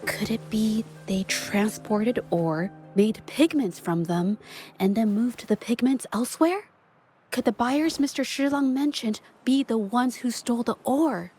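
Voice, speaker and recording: Earnest, high-voiced young woman sleuth. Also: measured pace, darkish tone, husky. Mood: pondering. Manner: hesitant, polite, thoughtful deduction. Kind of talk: video game voice acting. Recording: music playing behind the voice. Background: music.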